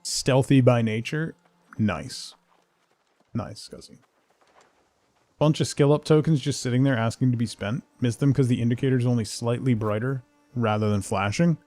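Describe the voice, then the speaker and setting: A man's voice: medium pitch, moderate pace, mellow, no echo, faint music behind. A man giving casual live game commentary, playfully muttering asides and short exclamations as he chats to viewers. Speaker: game streamer.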